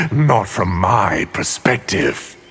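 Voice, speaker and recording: A menacing sorcerer from a fighting game. His voice is very husky and breathy, and he speaks slowly in grand, archaic phrases. He sounds haughty and theatrical. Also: on the low side, sharp tone, heavily acted. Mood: amused menace. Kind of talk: video game character dialogue.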